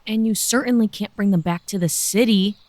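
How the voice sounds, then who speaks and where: A woman playing an earnest, wary villager in a sci-fi audio drama, speaking deliberately. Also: medium pitch, a little mellow, no room sound, lightly acted. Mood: urgent, cautioning.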